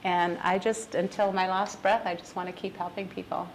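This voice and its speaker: A woman aged fifty-nine chatting casually as an interview guest, talkative and quick to laugh. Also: lowish voice, flat delivery, slight background noise, low-quality recording. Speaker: podcast guest, business owner.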